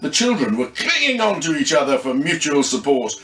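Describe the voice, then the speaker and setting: A man reading a story expressively, with dramatic pauses. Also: on the high side, sing-song, fairly bright tone, reverberant, poor audio. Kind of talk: scripted story narration.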